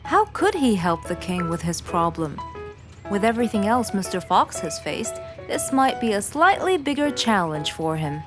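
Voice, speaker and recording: A woman's voice: medium pitch, very animated, moderate pace. A woman reading scripted narration for a children's cartoon story. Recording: music behind the voice.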